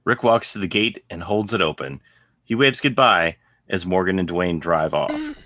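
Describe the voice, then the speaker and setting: Deep, bright-voiced male narrator. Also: lively intonation, moderate pace, no room sound, studio quality. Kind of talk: scripted narration of stage directions.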